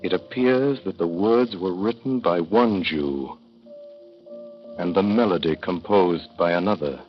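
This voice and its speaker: Low-voiced male narrator, wry and measured, old radio sound. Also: faint music behind. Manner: measured, wry storytelling with deliberate pauses. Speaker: narrator and lead performer. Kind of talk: 1930s radio drama narration. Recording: dull 1930s radio broadcast.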